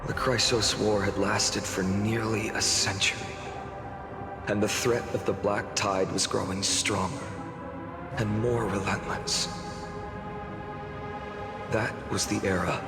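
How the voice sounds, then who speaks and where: A male actor playing a solemn young swordmaster hero in a game, narrating gravely and with measured weight. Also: fairly low pitch, steady tone. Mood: grave narration. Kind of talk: dramatic game narration and dialogue. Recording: roomy long reverb, music underneath.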